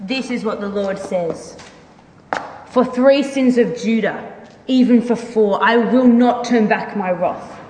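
A woman giving a solemn, measured dramatic reading of scripture. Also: fairly high pitch, mellow, a little hiss or hum. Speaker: reader in a church dramatic reading. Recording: echoey hall recording with long reverb.

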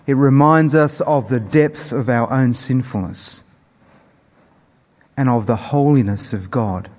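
A man preaching a sermon in a measured, earnest manner with weighty pauses. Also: mid-range voice. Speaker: preacher introducing scripture. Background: soft plucked guitar music.

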